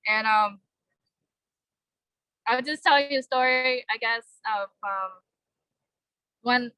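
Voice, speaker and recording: A young woman from Vietnam giving a halting, earnest personal speech at a vigil, with many fillers. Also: on the high side, pushed and bright, clear, resonant tone, dry recording, rough recording. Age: young adult. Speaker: vigil speaker.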